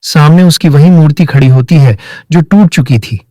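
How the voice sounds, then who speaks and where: Male narrator, fairly high pitch, scripted children's storytelling, dry close recording. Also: normal speed, dark tone, no echo.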